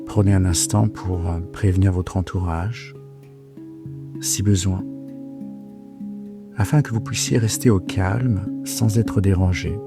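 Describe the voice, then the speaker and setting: A man leading a guided relaxation for sleep as a yoga nidra guide, speaking gently and soothingly with hesitant pauses. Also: sits low, steady tone. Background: soft plucked guitar music.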